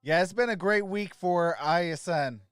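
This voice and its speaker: A man's voice: high voice, normal speed, fairly bright tone, smooth, no room sound, clean studio sound. A man hosting a sports livestream, mixing casual, friendly chat with viewers and informal play-by-play commentary. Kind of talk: casual live stream chat and game commentary.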